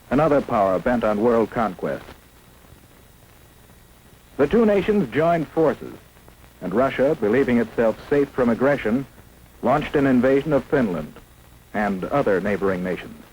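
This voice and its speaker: A man's voice: medium pitch, expressive, moderate pace, pauses often, a little mellow, breathy edge. An American man reads scripted mid-century propaganda documentary narration in a solemn, emphatic, declamatory manner. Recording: noisy old film soundtrack.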